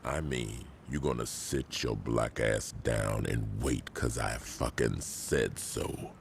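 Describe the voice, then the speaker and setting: A man playing a calm, commanding gang boss in a video game, gravelly and authoritative. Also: very deep voice, noisy background, exaggerated voice. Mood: stern. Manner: slow, authoritative, stern. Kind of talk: video game cutscene acting.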